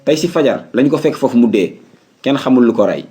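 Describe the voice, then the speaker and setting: A man's voice: mid-range voice, animated, fairly quick, music in the background, natural voice. A man playing a breezy, matter-of-fact employer with a Senegalese accent in a comedy cartoon. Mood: matter-of-fact, amused. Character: breezy male employer hiring a night watchman.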